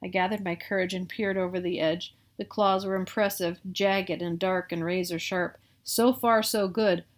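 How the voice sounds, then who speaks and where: A woman's voice: fairly low pitch, steady tone, moderate pace, hardly any pauses. A middle-aged woman with an American accent reads a picture book aloud, adding casual asides. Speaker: storybook reader. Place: small room at home.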